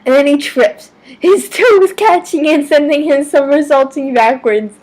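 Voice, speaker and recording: A woman giving a mock-dramatic amateur story reading, theatrical and deliberate, now and then stumbling over words. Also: high voice, darkish tone, poor audio. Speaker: amateur reader of fan fiction.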